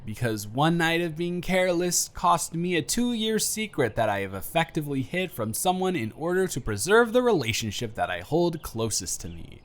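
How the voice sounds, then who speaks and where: A man performs a nervous young man in an intimate audio drama, opening up to a close friend. His delivery is emotional and rambling, halting at times and then emphatic. The pitch is very animated, moving widely from phrase to phrase. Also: close to an everyday voice. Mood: nervous, resigned. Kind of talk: intimate scripted audio roleplay.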